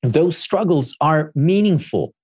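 A man's voice: on the high side, expressive, slow pace, a little mellow, husky, dry recording. A man whose first language is Hebrew, a guest giving earnest explanations in an interview and often repeating words as he thinks. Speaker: guest expert. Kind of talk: thoughtful interview talk.

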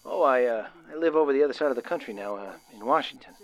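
An actor playing a nervous, excitable young working man of the 1930s, stammering and using folksy slang, in a radio drama. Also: medium pitch, fast talker, lo-fi sound, close to an everyday voice. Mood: awkward, hesitant. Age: young adult. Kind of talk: old-time radio drama acting.

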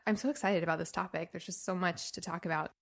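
A woman's voice: lowish voice, fairly even intonation, fast talker, creaky. A woman hosting a podcast in casual chat, bubbly, laughing often and filling gaps with ums. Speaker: podcast host.

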